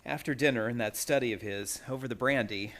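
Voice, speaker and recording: A man's voice: medium pitch, loud music behind the voice, close to an everyday voice. A man playing an anxious, polite young chemist in a radio play, speaking in a hesitant, tormented way. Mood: weary confiding. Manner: hesitant, nervous, halting.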